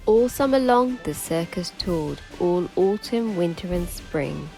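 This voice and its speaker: A woman reading a children's picture book aloud in an expressive, sing-song storytelling manner. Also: fairly low pitch, measured pace, gravelly, faint music behind. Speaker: teacher reading stories for children.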